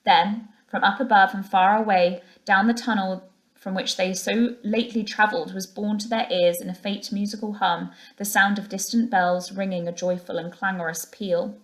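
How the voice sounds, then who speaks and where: A woman's voice: medium pitch, steady tone, moderate pace. Woman reading a children's storybook aloud. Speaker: teacher reading to pupils. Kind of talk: expressive children's storybook narration.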